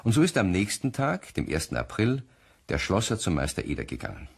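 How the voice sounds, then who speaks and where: A man's voice: fairly low pitch, huge pitch swings, fairly quick, gravelly, husky, full character voice. A man as a genial storyteller, chattily narrating a radio play for children. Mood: genial storytelling. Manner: chatty, addresses listeners directly.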